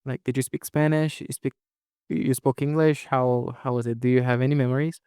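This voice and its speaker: A man's voice: mid-range voice, fairly even intonation, brisk, mellow, clear, resonant tone, dry recording, clean studio sound. A man hosting an interview, explaining things and asking long, rambling questions. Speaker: podcast host.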